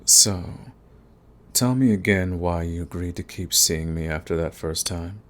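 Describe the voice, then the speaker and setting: A man's voice: deep voice, measured pace. A man playing a cocky, flirty villain wooing an enemy in a teasing, intimate romance audio roleplay. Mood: coaxing, smitten.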